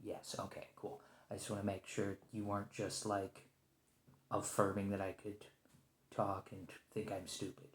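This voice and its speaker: A man playing a nervous, conciliatory special agent held captive, speaking hesitantly and softly in an ASMR roleplay with an echoing lo-fi sound. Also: lowish voice, completely flat delivery, slight background noise, lightly acted. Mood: wary, tentative. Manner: hesitant, halting, stammering, soft-spoken. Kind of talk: ASMR roleplay, one-sided point-of-view dialogue. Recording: roomy lo-fi echo.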